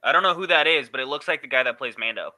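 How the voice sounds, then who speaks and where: Very bright-voiced man chatting, dry sound. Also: mid-range voice, lively intonation, rapid-fire, no echo. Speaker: podcast co-host. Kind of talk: casual chat.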